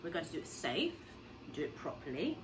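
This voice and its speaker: Woman acting out eager storybook father, breathless, emphatic, bouncing pitch, persuasive, noisy recording. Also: huge pitch swings, brisk. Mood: eager, excited. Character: excitable, persuasive father full of big plans.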